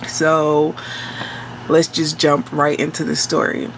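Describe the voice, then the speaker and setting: Slow nasal New storyteller. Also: medium pitch, monotone, fairly bright tone, slight background noise, rough recording. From New York City. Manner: slow, rambling and conversational, with many fillers and self-corrections. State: nasal from a cold. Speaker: storytime vlogger. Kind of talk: casual storytime monologue.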